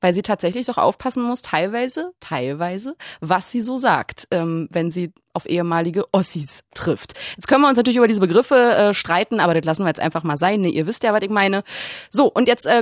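A woman's voice: medium pitch, no echo. A woman hosting a radio phone-in, talking casually into a close studio microphone. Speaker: radio host of a phone-in show. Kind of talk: casual talk radio moderation.

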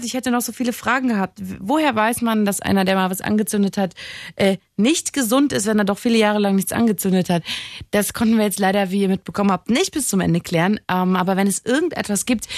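A woman's voice: mid-range voice, no room sound, studio quality. A woman hosting a radio call-in show, chatting casually with a playful, teasing, informal manner. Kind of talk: casual late-night phone-in chat.

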